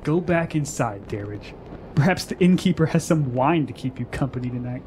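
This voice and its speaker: Flippant male pleasure-seeker, fantasy audio drama, acted by a man. Animated high voice, defiant, theatrical, slight background noise. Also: moderate pace, natural voice. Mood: scornful. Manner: mocking, theatrical, insistent. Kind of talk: fantasy radio drama acting.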